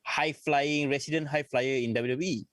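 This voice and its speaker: A man's voice: on the high side, steady tone, normal speed, a bit bright, dry recording. A man, co-hosting a casual wrestling podcast, enthusiastic and calling his co-host bro. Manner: enthusiastic, says bro a lot.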